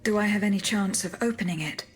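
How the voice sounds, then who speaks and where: A woman's voice: medium pitch, quick pace, natural voice. A woman voicing a reserved, determined female automaton warrior in a video game, formal and terse. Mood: composed, resolute. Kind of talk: video game cutscene acting.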